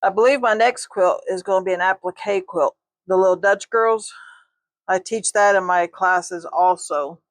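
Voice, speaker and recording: A woman's voice: medium pitch, moderate pace, no echo. An older woman teaching a quilting tutorial, chatting with her viewers while she sews. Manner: folksy, talks to viewers while sewing.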